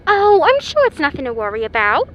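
An actress playing a chirpy, unruffled flight attendant with breezy service patter in a comic radio drama. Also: female voice, high voice, wildly swooping pitch, fairly quick, lo-fi sound, a little hiss or hum.

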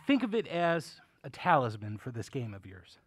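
An actor playing a courtly, whimsical old uncle who runs a curiosity shop in an audio drama, genial and theatrical, with old-fashioned manners. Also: male voice, medium pitch, big pitch swings, normal speed, no echo. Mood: fond, confiding.

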